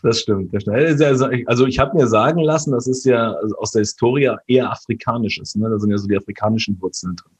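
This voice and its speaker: A man's voice: medium pitch, quick pace. A man from Germany, a guest in a casual interview, talking hesitantly with many fillers and tag questions.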